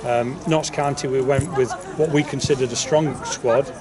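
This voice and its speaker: A man's voice: medium pitch, steady tone, moderate pace, a crowd in the background. A man, a football manager giving an informal interview, often repeating his words. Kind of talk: informal post-match interview. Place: outdoors on a football pitch. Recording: distant outdoor mic, clear background noise.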